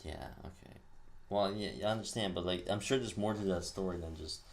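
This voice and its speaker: A man's voice: sits low, fairly quick, gravelly, a little hiss or hum. A young man co-hosting a podcast in casual chat, laid-back, agreeing a lot and then pushing back. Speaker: podcast co-host.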